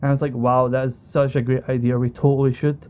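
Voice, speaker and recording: A man's voice: medium pitch, flat delivery, fast, clean tone. A young British man telling a story casually as an esports co-owner, with informal, enthusiastic, repetitive wording over an online call. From United Kingdom. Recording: dull lo-fi voice call.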